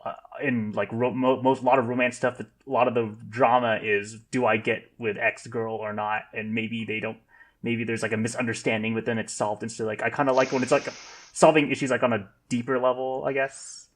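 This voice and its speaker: A man with a medium-pitched voice, a guest in a casual podcast discussion. He feels his way through each thought in halting, rambling phrases packed with filler words. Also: flat delivery. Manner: rambling, halting, heavy use of "like".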